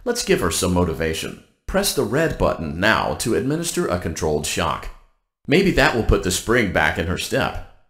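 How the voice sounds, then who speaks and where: Chipper corporate robot guide for a game, super polite n deadpan, swoopy intonation, echoey. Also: male voice, low-pitched, moderate pace, exaggerated voice. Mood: blandly cheerful. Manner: upbeat corporate formality, deadpan about danger. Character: cheerful, blandly polite robotic guide for night-shift workers. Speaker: automated workplace guide. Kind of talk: scripted game announcement.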